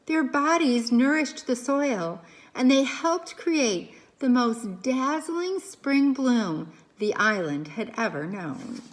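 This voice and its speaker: A woman narrates a children's story in a fairly high, very lively voice. She takes her time like a teacher, pausing for drama and drawing young listeners in. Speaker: teacher reading aloud to class.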